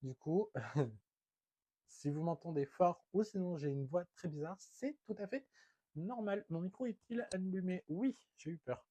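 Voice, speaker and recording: A man's voice: high-pitched, lively intonation, a little slow, mellow, no room sound. Nasal, congested young man vlogging. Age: young adult. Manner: chatty, hesitant, many fillers. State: congested from a head cold, talking through the nose. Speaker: book vlogger. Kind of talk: casual book haul vlog.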